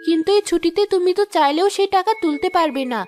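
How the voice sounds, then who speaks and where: A woman voicing a calm, resourceful young wife in a cartoon, steady and reassuring. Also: extremely high pitch, fast, soft background music. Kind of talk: Bengali cartoon voice acting.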